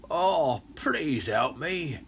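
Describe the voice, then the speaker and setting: A man's voice: a little high, expressive, extremely slow, slight background noise, heavily acted. Man doing a big bull shark, theatrically contrite. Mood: pained, begging. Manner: begging, theatrical delivery. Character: big male bull shark, nasty bully turned contrite. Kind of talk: children's storybook character voice.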